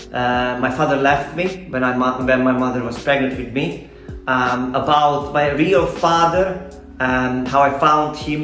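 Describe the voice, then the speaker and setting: A middle-aged man with a Serbian accent speaks straight to his viewers in an earnest, deliberate way, often pausing to find words. His pitch sits in an ordinary male middle range. The room adds a clear echo, and faint music plays behind him. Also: a bit bright. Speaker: online fitness coach and video host. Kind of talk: personal vlog talk to camera.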